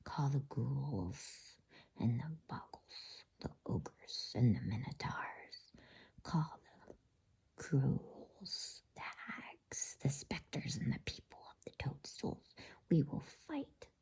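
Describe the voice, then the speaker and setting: A cruel witch queen, as whispered by a woman reader, cold and commanding in a storybook. The voice is very breathy, low and mellow, giving orders in a hush. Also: expressive, takes it slowly, heavily acted.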